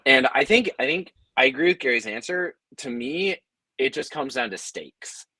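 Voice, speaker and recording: A man's voice: mid-range voice, animated, bright, forceful tone, breathy edge, no room sound, low-quality recording. Thoughtful man with a dry, close sound. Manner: thoughtful, halting, repeats words as they think aloud. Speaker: audio drama creator, panelist. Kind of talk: conversational panel discussion.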